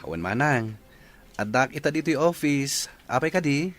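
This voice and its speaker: A man's voice: medium pitch, expressive, normal speed, a bit bright, natural voice. A man playing a mild, concerned younger brother with a working life in an audio drama.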